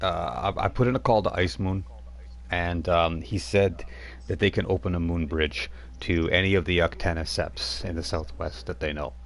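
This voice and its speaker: A man narrating a tabletop game as game master, speaking in a halting way with many fillers. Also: sits low, fairly even intonation, vocal fry.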